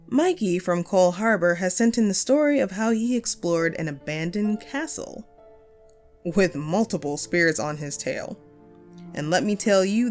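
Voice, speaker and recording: A woman's voice: lowish voice, natural voice. A woman playing a friendly, wistful ghost who hosted a paranormal radio show, chatty and playful in an intimate audio roleplay. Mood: excited, playful. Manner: playful, conversational, self-deprecating, breaks into chuckles. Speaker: radio host.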